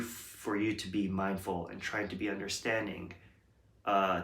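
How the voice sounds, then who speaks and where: A man with a deep, low voice talks through his thoughts at an unhurried pace. He hesitates often and restarts his sentences as he reflects aloud. The room around him gives his voice a noticeable echo. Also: fairly bright tone. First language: English. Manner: slow, hesitant, many ums and restarts. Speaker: host. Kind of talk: reflective casual monologue. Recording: roomy echo.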